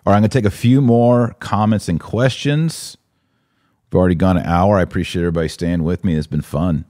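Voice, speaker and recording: A man's voice: sits low, fairly quick, a little mellow, no room sound, clean studio sound. An American man hosting a live podcast, chatting casually and informally as he responds to listener comments. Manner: informal slangy talk. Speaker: podcast host.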